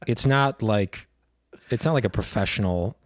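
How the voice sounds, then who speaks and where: A comedian guest on a podcast, a man speaking in a low-energy, deadpan, teasing way. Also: moderate pace, no echo, studio quality. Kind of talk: casual podcast chat.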